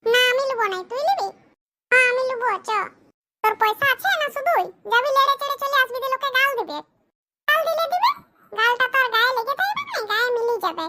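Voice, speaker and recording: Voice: extremely high pitch, animated, fast talker, bright, forceful tone, exaggerated voice. An actor voicing a cheeky, bickering young villager in a comedy cartoon. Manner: teasing, scolding, bickering. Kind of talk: comic cartoon dialogue in village dialect.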